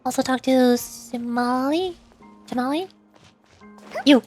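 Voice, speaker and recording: A woman's voice: high-pitched, takes it slowly, a little mellow. A woman streamer giving casual gameplay commentary, playfully muttering to herself as she plays. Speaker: streamer, vtuber. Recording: game music behind the voice. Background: faint game music.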